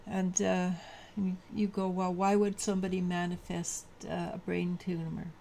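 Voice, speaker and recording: Low-voiced lady doing a daily tarot reading, bit of fry, stops and restarts a lot, faint hiss behind. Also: flat delivery, warm tone. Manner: halting, many restarts and fillers. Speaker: tarot reader.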